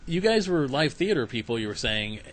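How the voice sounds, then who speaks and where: A man's voice: mid-range voice, very animated, fairly quick, a bit bright, poor audio. A man hosting a podcast, chatting casually in a rambling, self-deprecating way with his guests. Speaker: podcast host interviewing guests. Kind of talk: casual conversational interview. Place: home basement podcast setup. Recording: faint background noise, home podcast.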